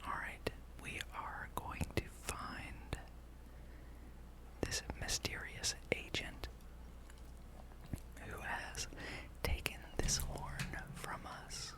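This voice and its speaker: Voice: very slow, long pauses. A person whispering an ASMR gameplay ramble as host, thinking aloud. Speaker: gaming channel host.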